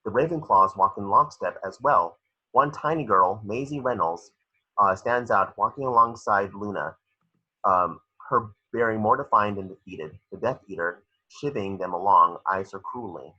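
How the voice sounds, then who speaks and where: A man reading screenplay stage directions as a narrator, with a raspy voice. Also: lowish voice, normal speed, sharp tone, muffled recording. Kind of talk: scripted narration.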